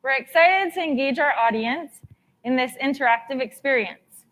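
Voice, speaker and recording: A woman co-hosts a live forum, guiding the audience and announcing the speaking order. She sounds upbeat and organized, and her voice sits somewhat high. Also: moderate pace, a bit bright, low-quality recording. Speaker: event co-host. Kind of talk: live event hosting.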